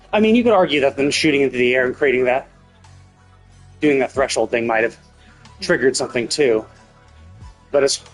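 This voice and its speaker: A man's voice: mid-range voice, low-quality recording. Man speculating aloud over game music. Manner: thinking aloud, repeats and rephrases himself. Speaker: live streamer. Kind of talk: casual game commentary, speculating on story. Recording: music playing behind the voice.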